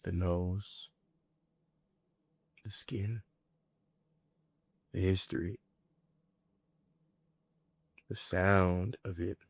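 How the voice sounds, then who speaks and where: A man with a low, deep voice performs a spoken-word monologue. He speaks deliberately and reflectively, setting out short, weighted phrases. The sound is dry, with almost no room around the voice. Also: flat delivery, gravelly, no room sound.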